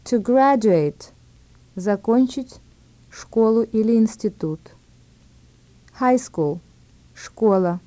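Slow, mellow female language instructor. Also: fairly high pitch, animated, no room sound. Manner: measured, word-by-word delivery with repetitions. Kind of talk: scripted language lesson narration.

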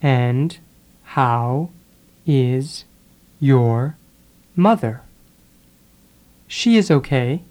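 A speaker drilling English phrases for learners, saying each sentence and then repeating it one word at a time with pauses. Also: medium pitch, animated, darkish tone. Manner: deliberate, pausing after each word. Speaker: English teacher.

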